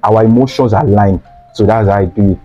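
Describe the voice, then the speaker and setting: A man's voice: fairly low pitch, fairly quick, dark tone, faint music behind. A young man with a Nigerian accent, a student guest talking earnestly and repeating key phrases for emphasis. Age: young adult. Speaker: guest, student sweet seller. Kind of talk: casual interview talk. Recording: slightly dull lo-fi sound.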